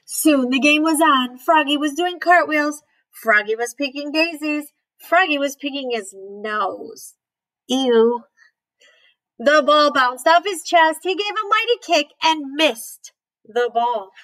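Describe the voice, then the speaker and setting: Slow, high-voiced woman reading to children. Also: lively intonation, a bit bright, poor audio. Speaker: read-aloud storyteller, teacher. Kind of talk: children's picture book read-aloud.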